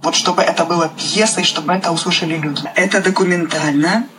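A woman's voice: lowish voice, quick pace, noisy background. A woman from Ukraine, a playwright, speaking spontaneously in an interview and often searching for words.

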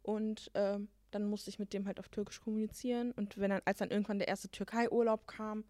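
A woman's voice: medium pitch, level tone, brisk, dark tone, dry recording. A young woman co-hosting a podcast, talking in a casual way with lots of fillers and gestures. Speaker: podcast co-host. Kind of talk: casual chat.

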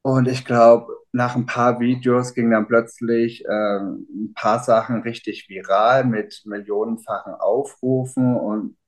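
A man's voice: medium pitch, steady tone, slow pace, few pauses. A man in his thirties answering interview questions casually, rambling with many fillers. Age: 30s. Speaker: interview guest, father.

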